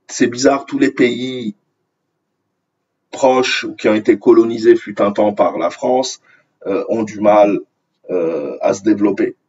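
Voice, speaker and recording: A man from Algeria whose mother tongue is Arabic delivers opinionated commentary on the news. His voice is rough and husky, sitting a little low. He sounds indignant and hammers phrases by repeating them, on a slightly muffled, lo-fi recording. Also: a little mellow. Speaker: online commentator. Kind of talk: opinionated commentary on news articles read aloud.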